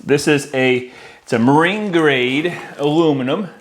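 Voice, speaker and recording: A man's voice: a little high, measured pace, slight background noise. An American man in his forties giving a casual, conversational product walkthrough to camera as an installer who addresses his viewers directly. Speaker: audio-video installer presenting products. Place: indoor room with decorated wall, at a worktable.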